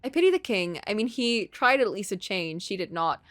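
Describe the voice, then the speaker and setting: A woman's voice: mid-range voice, expressive, normal speed, a bit bright, no room sound, clean studio sound. A woman streaming casual, opinionated commentary on a story game, thinking aloud in a conversational way.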